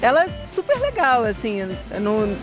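A woman's voice: fairly high pitch, huge pitch swings, normal speed. A woman in her thirties from Argentina with a Carioca Portuguese accent, chatting casually as a guest and trailing off into repeats. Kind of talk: casual chat. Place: outdoor studio set. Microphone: handheld mic. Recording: lo-fi TV audio with music behind.

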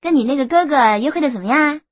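A woman voicing a lively, nosy college student in an audiobook, excitable and chatty. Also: high-pitched, rapid-fire, no room sound, highs cut off. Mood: chatty, curious. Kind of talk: audiobook character acting.

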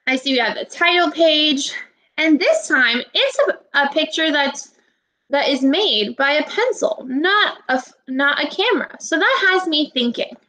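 Woman, 20s, primary teacher on webcam. High-pitched, forceful delivery, clear instructions and encouragement aimed at young pupils. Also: animated, measured pace, low-quality recording. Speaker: elementary school teacher. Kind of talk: children's read-aloud video lesson, instructional. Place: small indoor room at home. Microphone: webcam or laptop microphone, video call recording.

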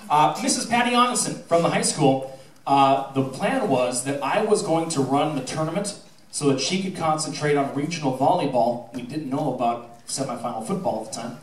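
A middle-aged man giving an informal speech on stage as a theatre director, telling anecdotes in an animated, projecting way. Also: fairly high pitch, normal speed. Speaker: high school theatre director. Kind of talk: informal speech to an audience, with anecdotes and thank-yous. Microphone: handheld microphone through PA, filmed from the audience. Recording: roomy, distant audience-camera recording.

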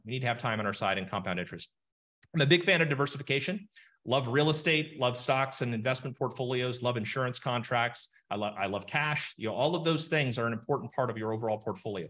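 A man in his forties, a financial planner, giving an animated webinar lesson on calculator math over a video call. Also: mid-range voice. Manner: animated, explains with numbers and asides. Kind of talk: webinar teaching session. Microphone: webcam video call.

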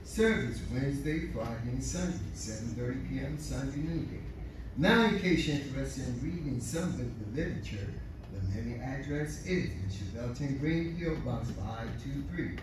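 A man, the announcer of a church radio broadcast, reading notices and scripture in a measured, declamatory way. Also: mid-range voice. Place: church sanctuary. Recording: echoey distant church recording with steady noise.

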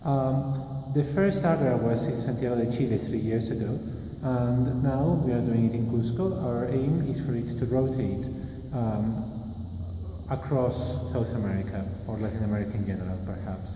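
A man's voice: mid-range voice, normal speed. A man in his thirties from Peru, answering interview questions about a math school he started, thoughtfully and with many restarts, filmed at arm's length in a large empty classroom. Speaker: mathematician, school organizer. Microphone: phone camera at arm's length. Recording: roomy hall reverb, faint hiss.

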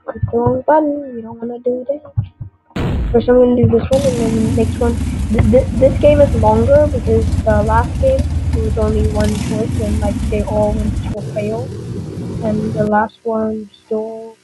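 A woman's voice: on the high side, steady tone, normal speed, very noisy. Rambling young gamer woman. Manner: rambling, hesitant, many fillers. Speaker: gameplay commentator. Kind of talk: casual let's play commentary. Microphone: computer mic, screen recording. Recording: game music behind.

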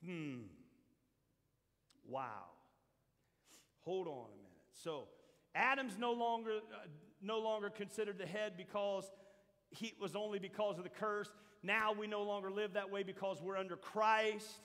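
An older man preaching a sermon as pastor to his church, shifting from quiet asides to shouted points. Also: high-pitched, a little slow. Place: large church hall with a seated congregation. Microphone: handheld mic, roaming the stage. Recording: long hall reverb.

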